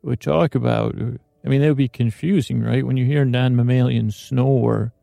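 Gravelly, mellow-voiced sleep podcast host. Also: male voice, mid-range voice, steady tone, no echo. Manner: slow, meandering, self-interrupting. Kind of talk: rambling bedtime monologue.

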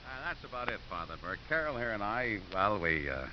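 A man's voice: on the low side, big pitch swings, slight background noise. An actor playing a cynical, wisecracking American divorced father with a dry, sardonic manner in a 1950s radio drama. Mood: dry, resigned. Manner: dry, sardonic, hesitant pauses. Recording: muffled 1950s radio recording.

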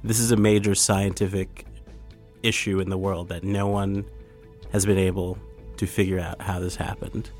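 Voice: deep voice, level tone, normal speed, a little mellow, faint music behind. Jokey podcast co-host, laughing along. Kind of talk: casual chat.

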